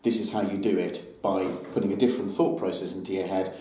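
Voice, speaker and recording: Guy from north east england, low mellow voice, laid back, echoey room. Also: fast, a little hiss or hum. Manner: relaxed, hesitant, restarts. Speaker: guest author. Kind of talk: casual conversation. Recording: roomy echo.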